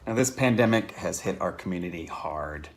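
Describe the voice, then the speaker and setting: Male, deep voice, addressing viewers with a fundraising appeal. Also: expressive, moderate pace. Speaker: theater show creator.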